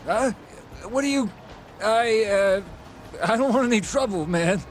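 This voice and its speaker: A male video game tout, pushy and easily rattled. The voice is extremely high for a man and swoops a lot, with faint street noise behind it. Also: animated, a little slow. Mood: nervous. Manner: wheedling salesman patter.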